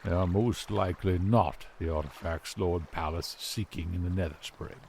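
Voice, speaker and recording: A man playing a learned, patient older mentor in a fantasy audio drama, speaking in a measured, formal, scholarly way. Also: deep voice, vocal fry, background noise.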